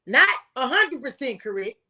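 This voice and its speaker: A woman's voice: high-pitched, sing-song, moderate pace, bright, forceful tone. An American woman speaking as a former tax agent, giving folksy, emphatic advice and repeating phrases for stress. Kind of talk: impassioned conversational tax advice.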